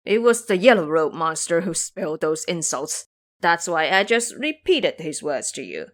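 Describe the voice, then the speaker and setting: A woman's voice: on the low side, sing-song, moderate pace. A woman voicing a male pig disciple, a goading and placating younger brother, in an audio drama. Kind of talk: audio drama character acting.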